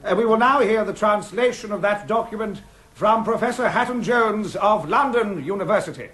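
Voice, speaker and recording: An actor playing a polite, formal coroner with a measured, slightly hesitant courtly manner in a radio comedy. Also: male voice, sits high, a bit bright.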